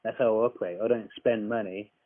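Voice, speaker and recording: A man's voice: lowish voice, steady tone, fast talker, no echo. A man making an argumentative complaint over the phone, insistent, blunt and repetitive. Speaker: caller disputing a tax account. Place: phone call, recorded. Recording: dry, dull lo-fi phone call recording.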